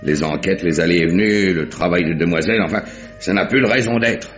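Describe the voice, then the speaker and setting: An actor playing a brash, bossy police inspector in a French radio drama, with a mocking, commanding way of talking. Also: male voice, low voice, brisk, natural voice. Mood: brusque, sardonic. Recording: muffled old radio recording, music behind.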